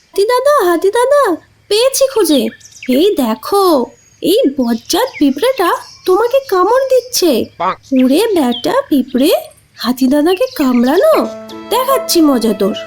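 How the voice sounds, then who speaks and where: A woman voicing a small, cheeky, helpful tailorbird in a cartoon fable, squeaky, childlike and exclaiming. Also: sing-song, moderate pace, darkish tone, faint music behind. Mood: excited, scolding.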